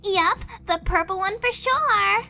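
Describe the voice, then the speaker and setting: A woman voicing a sassy young girl pony in an expressive, exclamatory way for a cartoon fan audio drama. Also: extremely high pitch, slow, vocal fry, soft background music, full character voice. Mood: girlish, teasing.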